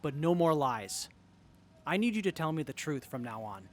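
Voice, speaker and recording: An earnest young tinkerer from an audio drama acted by a man. The voice sits high and moves around a lot in pitch. The delivery is sincere, geeky and open. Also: very animated, moderate pace. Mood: hurt, earnest. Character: earnest, excitable young tinkerer in armor, sincere and literal-minded.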